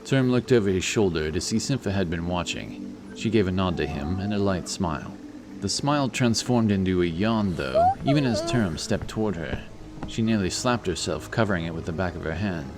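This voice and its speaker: A man's voice: low voice, animated, a little fast, noisy background. A man reading scripted fantasy narration as a storyteller, with music behind his voice.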